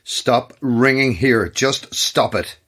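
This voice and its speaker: A man's voice: mid-range voice, slow, fairly bright tone, breathy edge. An actor playing a brusque, sceptical male radio host in an audio horror drama. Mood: curtly impatient. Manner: brusque, exasperated, sardonic.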